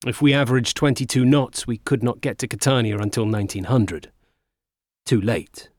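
An actor playing a brisk, proud naval captain reading his wartime diary in terse, clipped, matter-of-fact phrases. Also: mid-range voice, darkish tone, no echo, close to an everyday voice. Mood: brisk, satisfied.

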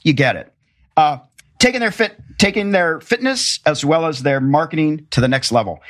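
A man's voice: a little high, expressive, moderate pace, fairly bright tone. An American man hosting a podcast, moving between introductions and conversational interview questions.

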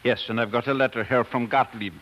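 Actor as earnest, steady male colleague. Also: medium pitch, brisk, highs cut off, natural voice. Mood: earnest, reassuring. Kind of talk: 1940s radio drama acting. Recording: old 1940s radio recording.

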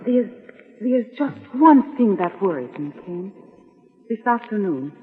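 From an echoing, narrow vintage radio recording comes a naive young woman in love. Her voice is breathy and earnest, with defiant melodrama in the 1940s manner. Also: medium pitch, big pitch swings, a little slow, heavily acted. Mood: lovestruck, anxious. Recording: muffled, narrow-band old radio recording, reverberant.

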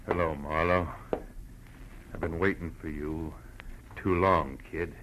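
An American actor playing a big, nasty homicide detective with a taunting, sardonic manner in a 1940s radio drama. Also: male voice, rumbling low, steady tone, slow pace. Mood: smug menace. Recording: noisy old radio recording.

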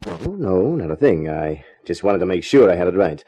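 An actor playing a wry, hard-boiled American private eye in a 1950s radio detective drama, deadpan and wisecracking. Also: male voice, low-pitched, normal speed, slightly rough, lo-fi sound, heavily acted. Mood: businesslike.

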